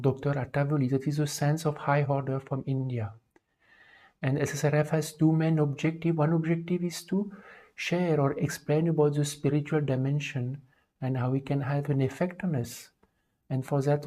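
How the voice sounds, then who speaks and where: A man from France, around forty, with a French accent hosts an online talk. His delivery is even and monotone, with a warm, dark tone. He pauses often and leans on fillers as he hunts for his English words. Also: a little high. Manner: hesitant, with many fillers. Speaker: livestream host. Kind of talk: livestream talk.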